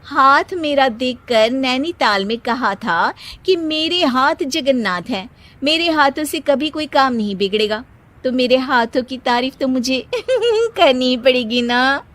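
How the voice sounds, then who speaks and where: Woman acting a sassy high-voiced young daughter-in-law. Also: measured pace, a bit bright. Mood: boastful. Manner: sassy, insistent, emphatic. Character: bossy, boastful young daughter-in-law, proud of her hands.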